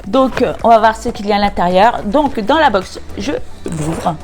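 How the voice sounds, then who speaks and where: A woman vlogger chatting casually through an unboxing, nasal and congested from a cold, pausing often with hesitant filler sounds. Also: mid-range voice, lively intonation, darkish tone. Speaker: beauty vlogger.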